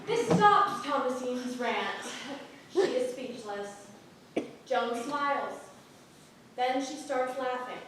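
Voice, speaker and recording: A woman's voice: high voice, lively intonation, takes it slowly, long pauses, a bit bright. A woman narrator reading stage directions as scripted narration in a theatre. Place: theatre with an audience. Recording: very echoey, distant, audience noise behind.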